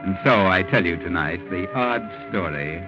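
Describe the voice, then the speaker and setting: An actor playing a mysterious, ominous storyteller who introduces a 1940s radio mystery, theatrical and deliberate. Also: male voice, sits low, expressive. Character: ominous, mysterious male night-walking storyteller. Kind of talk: 1940s radio suspense narration. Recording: muffled old radio broadcast with music.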